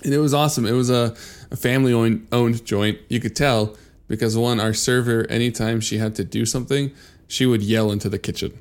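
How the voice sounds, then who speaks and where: A man chatting casually as a podcast co-host, telling animated anecdotes with easy swearing. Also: medium pitch, brisk, clear, resonant tone. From born in Venezuela, left in infancy.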